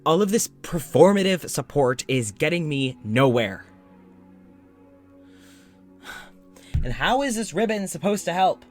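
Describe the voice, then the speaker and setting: A man playing a weary, frustrated doctor with memory loss in an audio drama, recording a spoken journal with halting, sighing delivery. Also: on the high side, lively intonation, faint music behind, close to an everyday voice. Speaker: voice actor playing podcast's lead character.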